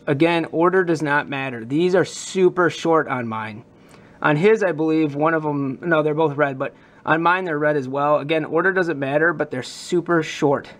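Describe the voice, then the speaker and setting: A man with a slightly high voice walks viewers through a hobby modification. He speaks off the cuff, thinking aloud as he works through each step in a casual tutorial style. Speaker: hobbyist demonstrating a prop modification.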